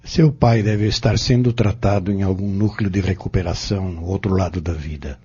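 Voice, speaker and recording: Muffled recording of a man playing a calm, wise Brazilian mentor in an audio drama. His voice is low and very husky, and he explains things with formal reassurance. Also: normal speed. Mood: calm, reassuring. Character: calm, wise adult male pharmacist and spiritist mentor.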